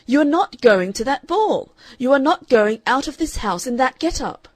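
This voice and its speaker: A woman's voice: high-pitched, big pitch swings, moderate pace, no room sound, voice pushed up. A woman voicing a stern, domineering middle-aged father who blusters and gives orders. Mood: angry, commanding. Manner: blustering, commanding.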